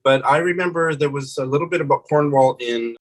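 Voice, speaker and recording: A middle-aged man in casual book chat, co-hosting and talking in a thoughtful, unhurried way. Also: fairly high pitch, rough recording. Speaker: booktuber co-host.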